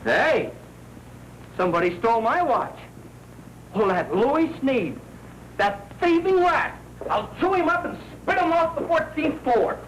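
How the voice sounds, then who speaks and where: High-pitched, griping 1940s American newsman. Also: big pitch swings, unhurried, pauses often, fairly bright tone, exaggerated voice. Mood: indignant. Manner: blunt, wisecracking. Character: gruff, griping, slangy male press-room reporter, hostile to women. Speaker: film actor. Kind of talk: 1940s crime film dialogue. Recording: noisy old 1940s film soundtrack.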